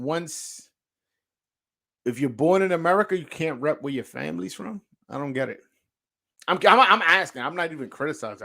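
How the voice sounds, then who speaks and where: A man's voice: on the high side, bright, forceful tone. A man with a New York accent hosting a boxing livestream, chatting casually, swearing and stammering through restarts. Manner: slangy, profane, lots of stammered restarts. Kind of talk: casual livestream chat, reacting to viewer comments.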